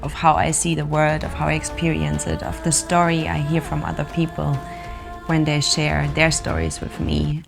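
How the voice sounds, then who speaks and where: A woman from Germany who is a poet, speaking slowly and reflectively with hesitant pauses in a documentary interview. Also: sits low, monotone, vocal fry. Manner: slow, reflective, hesitant with fillers. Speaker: poet, nonprofit co-founder. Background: music.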